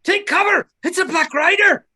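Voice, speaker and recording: A woman playing an earnest, anxious but brave hobbit servant in a script table read, pleading and excitable. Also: very high-pitched, normal speed. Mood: alarmed, defiant.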